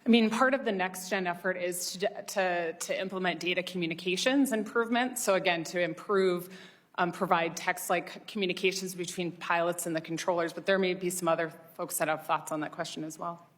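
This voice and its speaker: A woman giving unscripted formal testimony as an expert witness, explaining in a hesitant, measured way. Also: on the low side, fairly even intonation. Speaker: expert witness from an oversight body.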